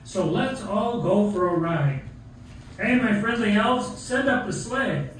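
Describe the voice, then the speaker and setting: A man's voice: high-pitched, animated, takes it slowly, fairly bright tone, exaggerated voice. A man voicing a jolly old Santa puppet, announcing jovially in a children's Christmas show. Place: theatre, behind puppet stage curtain. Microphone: far camera. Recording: very echoey hall from far off, faint noise.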